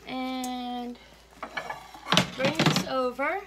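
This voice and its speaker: Brief: woman home cook, slow easy delivery, slightly high voice, camera on the counter, kitchen clatter behind. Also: steady tone, very noisy. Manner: homey, unhurried, chuckles often. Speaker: home cooking vlogger. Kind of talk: casual cooking demonstration. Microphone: fixed camera at counter, speaker moving around.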